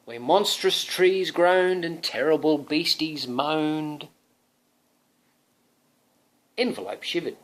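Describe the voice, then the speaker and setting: A man's voice: a little high, measured pace, fairly bright tone. A man, a father, reading a picture book aloud to his young son, drawing out words expressively and adding chatty asides that prompt the child.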